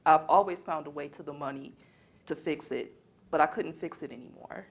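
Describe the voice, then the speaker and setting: A woman's voice: low-pitched, a little fast, gravelly, slightly rough, full character voice. A young woman performing scripted lines as a student in readers theater. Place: college library event. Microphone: worn microphone.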